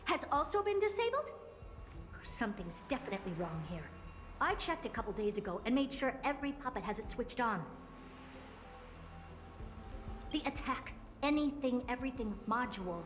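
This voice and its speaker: High-pitched, imperious genius scientist. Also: female voice, fairly bright tone. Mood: focused, puzzled. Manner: imperious, matter-of-fact explaining. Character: haughty, brisk genius female scientist who commands puppets. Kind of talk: video game voice acting. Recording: game music behind. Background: game music.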